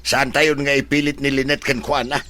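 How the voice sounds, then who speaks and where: A man acting a protective, reasonable older father in an Ilocano radio drama, with a husky voice and an Ilocano accent. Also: medium pitch, level tone, normal speed, sharp tone, lightly acted. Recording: faint noise behind the voice.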